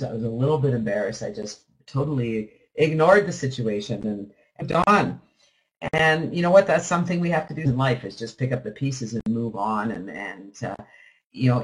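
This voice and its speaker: A man's voice: mid-range voice, low-quality recording. A man hosting a live webinar in a chatty, upbeat way, rambling with restarts and with a slight lingering cough. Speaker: webinar host. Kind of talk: casual talk on a live webinar call.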